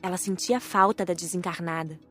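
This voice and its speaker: A woman's voice: lowish voice, fairly even intonation, fairly quick, a bit bright, soft background music. A woman reading scripted first-person audiobook narration in a composed storytelling manner.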